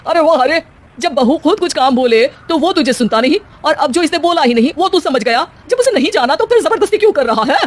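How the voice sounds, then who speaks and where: Cartoon: woman voicing a bossy mother-in-law, sarcastic and scolding, slightly high voice. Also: expressive, fairly quick. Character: bossy older mother-in-law, sharp-tongued but caring. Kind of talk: cartoon voice acting.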